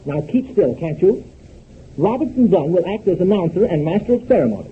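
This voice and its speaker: A pompous, high-voiced young upstart. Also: male voice, big pitch swings, brisk. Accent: American. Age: young adult. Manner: pompous, exasperated, formal diction. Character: pompous, exasperated young man with airs, radio announcer. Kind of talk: 1930s radio comedy acting. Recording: tinny, noisy 1930s radio recording.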